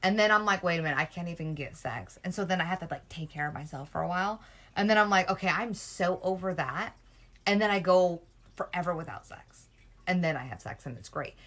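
A woman's voice: lowish voice, brisk, fairly bright tone. A woman in her thirties co-hosting a casual chat, candid and laid-back. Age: 30s. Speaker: podcast co-host.

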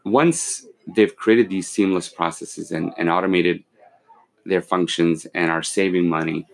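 A man in his forties, born in Pakistan and raised in London and Kuwait, calmly explaining his company as a podcast guest, with many fillers and restarts. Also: low voice, a little slow, creaky, poor audio. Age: 40s. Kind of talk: casual interview, explaining business.

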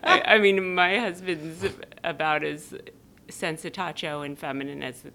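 A woman's voice: low-pitched, unhurried, crowd noise. A woman born in Denmark and raised in California, a guest on a podcast who talks casually and animatedly, rambling with many fillers. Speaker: guest, activist and performance artist. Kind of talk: casual conversational interview.

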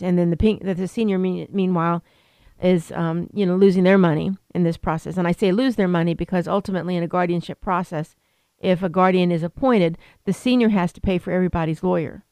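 A woman's voice: on the low side, fairly even intonation, a little fast, gravelly, warm tone, no room sound. A woman attorney explaining elder law on a radio talk show, rambling with many fillers and restarts. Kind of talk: radio interview.

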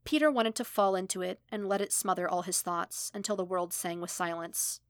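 A woman's voice: lowish voice, a little fast, dry recording. A woman reading a story aloud as scripted narration, recorded close to the microphone. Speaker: fan fiction audiobook narrator.

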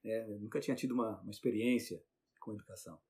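A man's voice: fairly low pitch, fast, dark tone. A Brazilian man with a Brazilian Portuguese accent, a teacher chatting as an interview guest, rambling with many restarts and tag questions. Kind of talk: casual conversational interview.

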